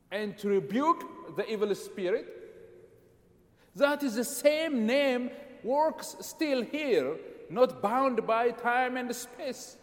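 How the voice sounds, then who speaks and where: A man from Ethiopia preaching a sermon, emphatic and repetitive with dramatic pauses, in a church sanctuary. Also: very high-pitched, big-room sound.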